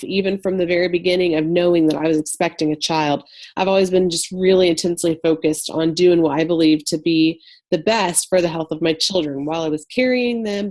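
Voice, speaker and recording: A woman's voice: on the low side, a little mellow. A woman in her thirties with a head cold and a slightly nasal voice, presenting an online wellness class in a chatty way with many fillers.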